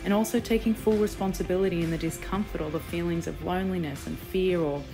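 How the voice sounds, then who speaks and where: A woman's voice: fairly low pitch, steady tone, fast talker. A young woman reading an earnest, introspective voice-over for her vlog. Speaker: vlogger. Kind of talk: reflective scripted voice-over. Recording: voice-over with loud music.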